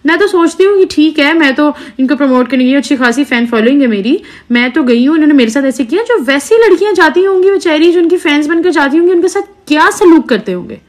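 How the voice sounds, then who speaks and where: A woman's voice: high voice, lively intonation, fast talker, few pauses. A young woman, talking earnestly and indignantly to her followers into a phone held at arm's length indoors. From Pakistan. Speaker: social media creator. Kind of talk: selfie video complaint to followers.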